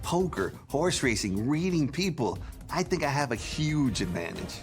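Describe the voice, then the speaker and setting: A man's voice: medium pitch, big pitch swings, normal speed. A man, a game show contestant who talks confidently and chuckles as he speaks. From New York City. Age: 50s. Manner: confident, chuckling gambler's talk. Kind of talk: casual banter on a game show. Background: background music.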